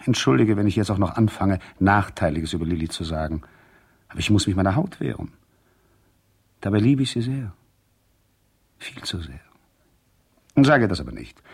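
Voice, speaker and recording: Indignant proud husband, husky gravelly voice, emphatic, radio play letter monologue. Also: male voice, on the low side, darkish tone, exaggerated voice. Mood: hurt, wavering. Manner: emphatic, indignant, swinging between outburst and restraint. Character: indignant, proud husband, boat builder and racer.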